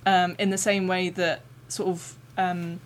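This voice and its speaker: A young woman hosting a podcast interview, chatty and encouraging, reacting with warm enthusiasm. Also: on the low side, little pitch movement, measured pace, slight background noise. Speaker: podcast host.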